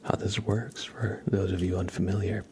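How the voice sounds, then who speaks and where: A man hosting an ASMR livestream, chatting casually and gently with viewers in a soft, breathy, mostly whispered voice. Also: low-pitched, level tone, a little hiss or hum. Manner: soft-spoken, gentle, unhurried.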